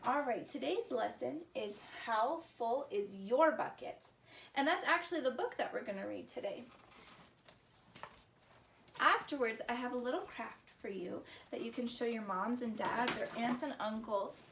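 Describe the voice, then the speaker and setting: A woman's voice: fairly high pitch, expressive, normal speed, gravelly, a bit bright. A woman hosting a preschool story time, talking to young children in a sing-song teacher's manner with simple questions. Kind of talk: children's lesson and read-aloud. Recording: roomy, distant mic with faint noise.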